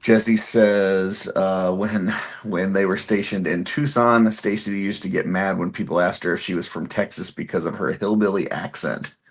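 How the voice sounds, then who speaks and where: Deep gravelly man reading chat. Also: normal speed. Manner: relaxed, reads comments aloud with fillers. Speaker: stream host reading chat comments. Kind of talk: casual chat on a live stream.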